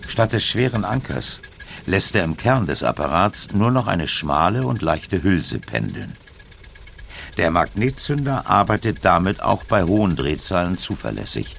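A man reading a scripted documentary narration in a measured storytelling way with deliberate emphasis. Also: low voice, very animated, gravelly, a bit bright, slight background noise. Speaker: audiobook narrator.

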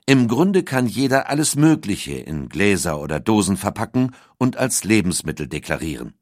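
A man's voice: on the low side, sing-song, moderate pace, no room sound, studio quality. A man reading a scripted documentary audiobook as its narrator. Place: dry recording studio.

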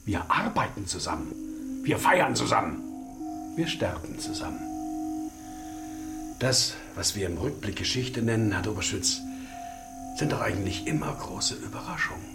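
A grandiose, threatening East German colonel for radio drama. He speaks with theatrical pomp, his pitch leaping and plunging between soft menace and outburst, over faint music. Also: very animated, normal speed, creaky, long pauses. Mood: grandiose, bitter.

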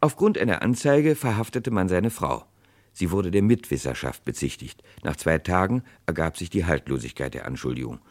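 An actor narrating a 1960s radio crime play as a grave chronicler, with dramatic, deliberate delivery. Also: male voice, low-pitched, animated. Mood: grave, suspenseful. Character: grave male chronicler of a historic murder case.

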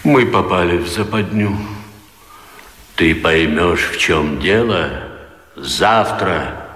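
A man's voice: low voice, takes it slowly, fairly bright tone. An actor playing a woeful, fearful captive nobleman, mournful and melodramatic in a radio comedy. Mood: mournful explaining. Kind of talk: theatrical radio comedy acting. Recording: echoey hall, long reverb.

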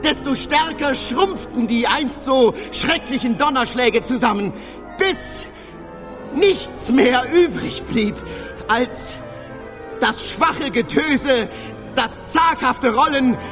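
An actor playing a traveler who narrates a fantastical battle of beasts in a measured, theatrical radio drama manner. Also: male voice, very high voice, expressive, exaggerated voice. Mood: descriptive, suspenseful. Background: music.

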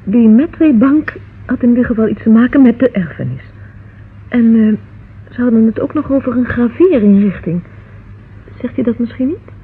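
A woman's voice: fairly high pitch, expressive, moderate pace, long pauses. An actress playing an anxious, affectionate young woman in a Dutch radio drama, hesitant and confiding. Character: anxious, affectionate young sweetheart of a police inspector. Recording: muffled, husky-sounding old radio recording.

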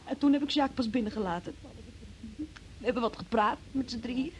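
An actress playing an evasive, defensive young woman who gives a halting account under questioning in a Dutch radio play. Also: on the high side, lightly acted. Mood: hesitant recounting. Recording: noisy old radio play recording.

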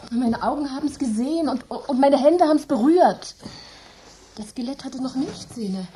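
An actress in a German radio drama playing a terrified, fatalistic woman who speaks haltingly, often slipping into a whisper. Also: high voice, animated, warm tone. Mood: distressed, insistent. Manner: halting, breathy whispers and repeated words. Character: terrified, fatalistic woman trapped by eerie forces.

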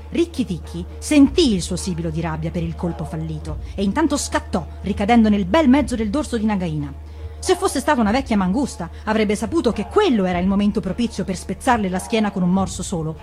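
A woman reading a fable for radio in an expressive, deliberate, dramatic storytelling manner. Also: medium pitch. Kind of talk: expressive scripted storybook narration.

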